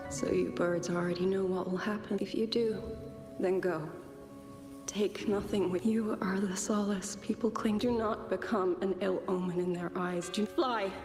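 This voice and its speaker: A woman's voice: medium pitch, fairly even intonation, normal speed, lightly acted. Woman playing a grieving warrior widow, echoing. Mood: somber. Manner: solemn, restrained, formal. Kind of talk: scripted game dialogue. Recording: long echoing reverb, music behind.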